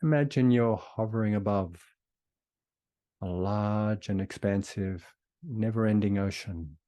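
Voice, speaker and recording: A man leading a guided meditation in a soothing, unhurried way with long pauses between phrases. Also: lowish voice, dark tone, no echo.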